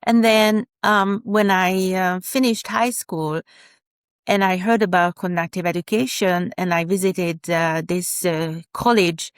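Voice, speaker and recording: A Hungarian-accented woman in her fifties talks slowly, often stopping to search for words. Her voice is smooth and fairly low for a woman. The recording is dry and close. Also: steady tone, dry recording. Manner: hesitant, many fillers and restarts. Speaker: guest, special educator. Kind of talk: podcast interview.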